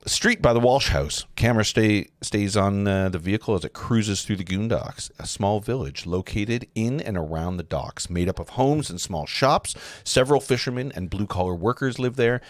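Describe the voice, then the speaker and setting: A man narrating a film script at a live table read, halting and often correcting himself. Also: on the low side, no room sound, clean studio sound. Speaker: narrator reading stage directions.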